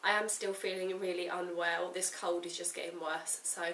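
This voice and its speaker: A woman chatting casually to camera in a vlog update, croaky and bunged up with a cold, talking in a rambling way. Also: fairly low pitch, little pitch movement, moderate pace, fairly bright tone, distant mic. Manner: rambling, talks straight to the camera. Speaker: writing vlogger. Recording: roomy echo, off-mic, faint hiss.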